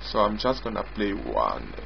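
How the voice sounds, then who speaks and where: A man giving an informal screen-recorded software demo as its developer, in a halting way, often repeating and rephrasing. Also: on the low side, huge pitch swings, creaky, background noise. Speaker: software developer demonstrating own app. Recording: dull lo-fi mic with prominent music.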